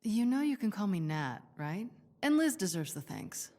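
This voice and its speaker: A woman's voice: mid-range voice, big pitch swings, moderate pace, mellow. A woman voicing a composed, dryly witty spy heroine in a video game, recorded in a reverberant space. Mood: calm, reassuring. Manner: dry, composed. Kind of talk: naturalistic video game voice acting. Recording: long reverberant hall echo.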